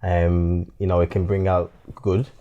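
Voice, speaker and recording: A man's voice: low-pitched, flat delivery, measured pace, warm tone, muffled recording. A man in his thirties co-hosting a casual chat at home, easygoing and affirming. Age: 30s. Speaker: co-host.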